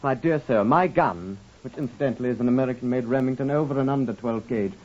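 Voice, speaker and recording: A man playing a genteel, uneasy gentleman under suspicion, speaking formally and courteously in an old-time radio crime drama. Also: mid-range voice, quick pace, close to an everyday voice. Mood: indignant. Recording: dull lo-fi old radio recording.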